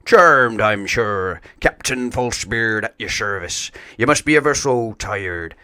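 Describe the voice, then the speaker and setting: A man's voice: on the low side, lively intonation, a little slow, bright, forceful tone, clear, resonant tone, no echo, voice pushed up. A man voicing a jovial, boisterous pirate captain in a children's storybook, full of arrs and hearty exclamations. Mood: delighted, gallant.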